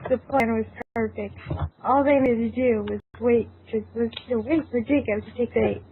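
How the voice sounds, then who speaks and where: A woman's voice: on the high side, fairly even intonation, normal speed. A woman reading scripted narration for an amateur fan fiction audio drama. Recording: tinny phone-like audio, clearly noisy.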